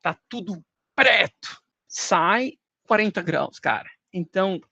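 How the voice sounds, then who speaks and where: A man's voice: high-pitched, animated, slow, vocal fry, sharp tone, slightly rough, no room sound, muffled recording. A man from Colombia with a Colombian Spanish accent, a podcast guest explaining financial indexes in casual talk with everyday comparisons and some hesitant restarts.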